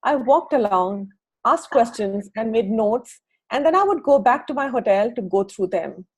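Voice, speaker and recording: A woman co-hosting a book club, reading aloud from a book and adding informal comments in a husky voice. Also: fairly high pitch, lively intonation, normal speed, rough recording.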